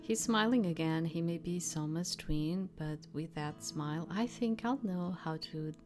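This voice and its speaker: A woman's voice: lowish voice, measured pace, a little mellow, faint music behind. A woman streamer reading visual novel text aloud as narration, with casual asides.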